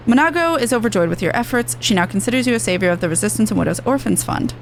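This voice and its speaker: A woman's voice: fairly high pitch, expressive, very fast, creaky, slight background noise. A woman streaming a video game, chatting casually and reading quest text aloud.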